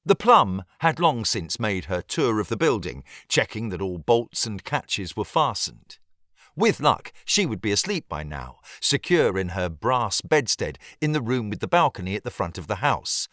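Animated British male audiobook narrator. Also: fairly low pitch, normal speed, no room sound, studio quality. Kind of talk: scripted narration of a period novel.

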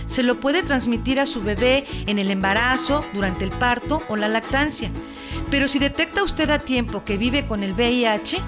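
Actress as Mexican health adviser, polite, informative, fairly high voice, music bed. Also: female voice, natural voice. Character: helpful female health hotline adviser. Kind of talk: scripted public health spot.

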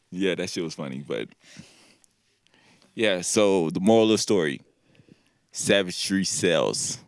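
A man's voice: low voice, huge pitch swings, slow pace, pauses often, no room sound, studio quality. A young man co-hosting a podcast in casual chat, laid-back and rambling, trailing off into fillers. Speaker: podcast co-host. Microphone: studio mic.

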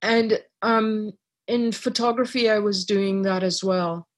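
A middle-aged woman from Trinidad, a writer and artist, talking reflectively in an interview with many pauses and restarts. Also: mid-range voice, fairly even intonation. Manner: thoughtful, many fillers and restarts.

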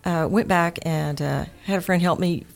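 Middle aged lady w east texas accent, pretty low voice, chatting casually as an interview guest. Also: moderate pace, gravelly. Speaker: interview guest, home warranty sales manager. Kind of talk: casual conversational interview answers.

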